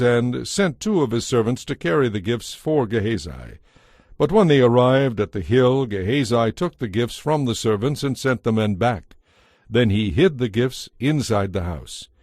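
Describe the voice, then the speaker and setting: A man's voice: medium pitch, animated, moderate pace, a little mellow, dry recording. A man narrating a dramatized audio Bible as a scripted story, recorded close. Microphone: close, dry studio microphone. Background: faint music.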